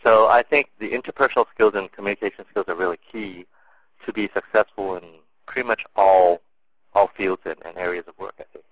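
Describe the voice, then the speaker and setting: Low-voiced man answering interview questions. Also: brisk, a bit bright, no room sound, low-quality recording. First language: English and Cantonese. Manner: thoughtful, many fillers and restarts. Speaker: interview guest.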